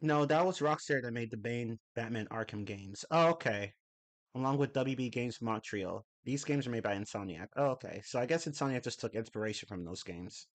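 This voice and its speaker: A man's voice: medium pitch, fairly quick. A young man chatting casually on a live stream, reading and answering chat messages. He rambles, corrects himself and swears casually. His voice is a little off, left over from a cold. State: slightly off voice after a cold.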